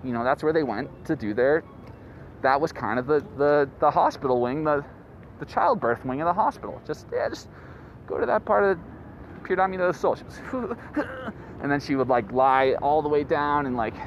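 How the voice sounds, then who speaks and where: A man in his late twenties hosts a podcast in a rambling, profane monologue, with a slightly high voice that swings all over the place. He was recorded outdoors, and steady street traffic noise sits clearly under his voice. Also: moderate pace, muffled recording. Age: late 20s. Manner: rambling, profane, animated storyteller. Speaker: podcast host.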